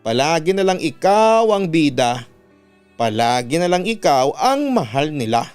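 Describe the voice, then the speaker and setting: A resentful young Filipino older sister, acted out by a male narrator, sharp-tongued and accusing in a dramatic radio story. The voice is raised in pitch and clear, with music underneath. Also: sing-song, unhurried. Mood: bitter, aggrieved. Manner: irritated, accusing, emphatic.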